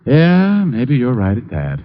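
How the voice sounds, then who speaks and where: An actor playing a wry, stubborn private detective with an American accent, deadpan and wisecracking in 1940s radio drama style. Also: male voice, mid-range voice, takes it slowly, a little hiss or hum. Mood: probing, wry. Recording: narrow-band old radio drama recording.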